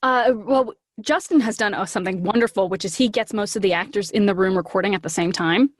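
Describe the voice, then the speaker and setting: A woman's voice: medium pitch, fast, dry recording, clean studio sound. A woman voice actor as a podcast guest, chatting casually and enthusiastically about her work. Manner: bubbly, enthusiastic, breaks into acted quotes. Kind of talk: casual, animated interview chat.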